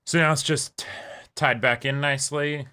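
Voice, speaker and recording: Mid-pitched man casually reacting. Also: steady tone, moderate pace, sharp tone, slight background noise. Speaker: reaction show host. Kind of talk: casual live reaction commentary.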